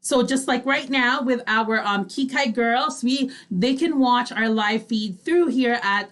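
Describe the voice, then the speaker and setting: A woman's voice: a little high, fairly bright tone. A woman with a Filipino accent co-hosting a casual live online radio show, upbeat and chatty. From Philippines.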